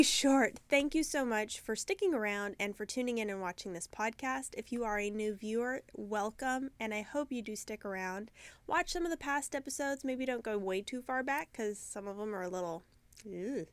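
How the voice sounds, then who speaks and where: A woman with a croaky, stuffed-up voice hosts her own podcast from inside her yarn shop. She chats alone to the camera in a loose, rambling way, pokes fun at herself, and sometimes loses her thread. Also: a little high, moderate pace, dry recording. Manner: chatty and rambling, self-deprecating, loses her train of thought. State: congested, croaky from sinus trouble. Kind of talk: casual video podcast chat. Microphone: camera mic, talking alone to camera.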